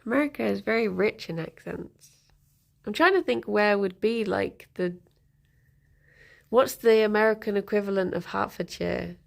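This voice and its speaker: A woman's voice: mid-range voice, gravelly. A woman streaming live, chatting casually with her viewers and answering their messages in a rambling, giggly way. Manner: chatty and rambling, laughs often. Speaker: streamer reading chat.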